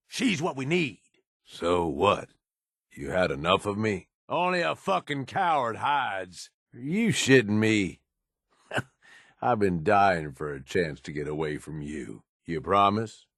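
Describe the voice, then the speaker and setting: A man playing a hard-bitten, cynical American raider lieutenant in a video game, with a gruff, blunt, slangy way of talking. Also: fairly low pitch, fairly bright tone, no room sound, clean studio sound, heavily acted. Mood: blunt, persuasive. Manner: gruff, plain-spoken, drawling slangy delivery. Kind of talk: video game companion dialogue.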